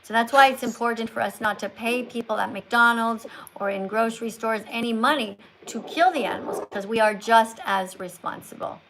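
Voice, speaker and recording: A woman with a fairly high voice hosts a live call-in chat. She speaks like someone giving a lesson, in short emphatic statements, and presses with pointed questions. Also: brisk, few pauses, fairly bright tone, slight background noise, rough recording. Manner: probing, presses callers with follow-up questions. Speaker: livestream host.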